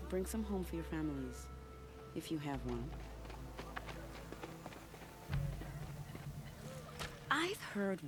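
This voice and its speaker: A woman playing a sly, secretive, charming spy in a video game, teasing in a silky, unhurried way. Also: on the low side. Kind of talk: video game cutscene acting.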